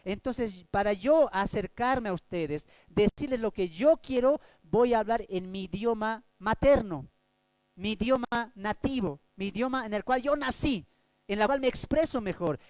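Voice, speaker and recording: A man from Bolivia, preaching a Bible study sermon as a pastor, swinging between loud and soft delivery. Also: sits high, expressive, measured pace, no echo.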